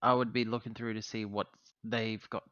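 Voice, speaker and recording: A man's voice: on the low side, little pitch movement, moderate pace, fairly bright tone, clean tone, no echo. A man speaking casually as a player in an online tabletop game. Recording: dull, dry close voice-call audio.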